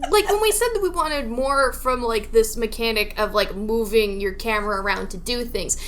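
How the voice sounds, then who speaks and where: A woman co-hosting a casual, comic game discussion, animated and playfully incredulous, landing her points with emphasis. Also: a little high, moderate pace. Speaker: podcast co-host.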